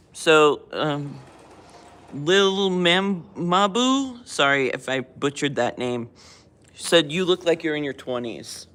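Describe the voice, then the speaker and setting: An older woman hosting a casual livestream chat in a library, chatty and self-mocking, quick to laugh at herself. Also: deep voice, lively intonation, fairly bright tone. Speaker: livestream host. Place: public library.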